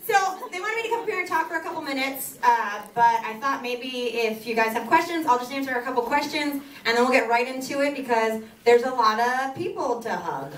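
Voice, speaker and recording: A woman's voice: high voice, animated, a little fast, few pauses. Chatty Southern woman on an echoey stage. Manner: chatty, self-mocking, laughs often. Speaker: author at a book signing. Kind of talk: live audience Q&A. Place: large theater stage. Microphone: handheld mic. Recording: echoey hall, heard from far off. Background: crowd noise.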